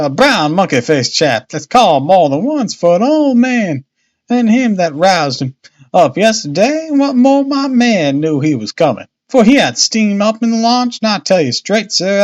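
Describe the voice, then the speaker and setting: Storybook boatman's wife male reader acting, talkative and fretful, colloquial, pitched well up and loud. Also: very animated, normal speed. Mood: anxious. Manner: chattering, exclamatory, colloquial. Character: harried, talkative working-class Victorian boatman's wife, anxious.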